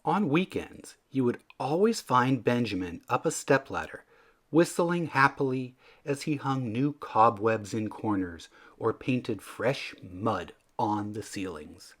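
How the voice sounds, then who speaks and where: A man narrates a children's picture book with expressive, scripted storytelling. His medium-pitched voice rises and falls in a lively, animated way. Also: slow pace.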